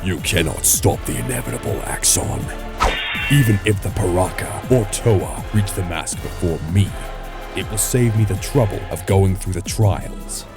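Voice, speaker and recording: A man voicing a bitter fallen warrior guardian in an animated fan dub, grim and taunting, with loud music over him. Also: low voice, normal speed. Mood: cold menace.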